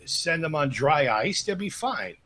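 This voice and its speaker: A man's voice: a little high, very animated, measured pace, sharp tone. A man co-hosting a casual wrestling podcast, making dry, teasing quips. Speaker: podcast co-host. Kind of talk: casual podcast chat with a read plug.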